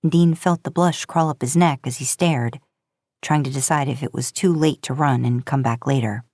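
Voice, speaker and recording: A woman's voice: a bass voice, moderate pace, darkish tone, dry recording, clean studio sound. A woman narrating a story as a podcast host, in audiobook style.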